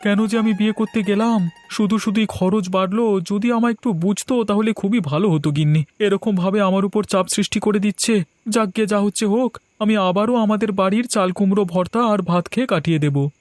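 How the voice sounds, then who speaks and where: A man's voice: high-pitched, a little fast, darkish tone, dry recording, soft background music, lightly acted. A man voicing a frugal, worried young shopkeeper husband in a cartoon, earnest and lecturing in manner. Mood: weary grumbling. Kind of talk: Bengali cartoon voice acting.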